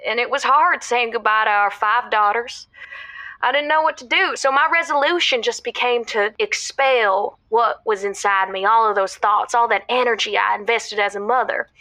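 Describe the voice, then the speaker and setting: A woman playing a middle-aged housewife calling a radio show in an audio drama, rambling, earnest and excitable. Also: on the high side, moderate pace, no echo, poor audio. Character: chatty middle-aged Southern housewife caller, proud and giddy.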